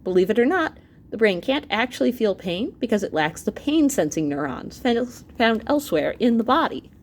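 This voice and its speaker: A woman's voice: a little high, moderate pace, a little hiss or hum. A woman reading an educational book aloud as a teacher to students, expressively, speaking directly to her listeners with asides. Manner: animated, with asides and exclamations to listeners.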